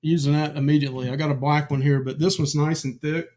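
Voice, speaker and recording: High-voiced chatty man going hoarse. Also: level tone, fast talker, darkish tone. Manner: chatty, greets viewers by name, many fillers. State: losing his voice. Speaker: livestream co-host. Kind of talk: casual live-stream chat.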